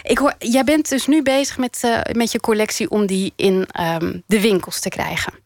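A woman's voice: mid-range voice, steady tone, normal speed, no echo. Woman hosting a close, dry studio interview. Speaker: radio host and interviewer. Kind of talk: studio interview with scripted introduction.

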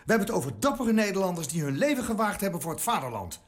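A high-strung, loyal minister. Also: male voice, big pitch swings, very fast. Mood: defensive. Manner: earnest, hesitant, defensive. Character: excitable, loyal male government minister. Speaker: cabinet minister loyal to the crown. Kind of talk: radio drama acting.

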